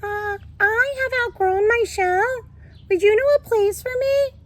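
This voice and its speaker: A woman's voice: very high-pitched, a little slow, pitched above the performer's own voice. A woman voicing a polite, friendly male hermit crab in a picture book, exclaiming and wondering courteously. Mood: hopeful.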